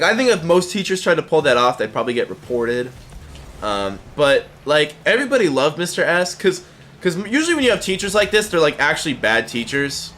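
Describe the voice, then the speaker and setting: A man's voice: mid-range voice, fairly bright tone, slight background noise. A young man in his twenties tells a casual story in a rambling way, full of "like.". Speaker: vlogger.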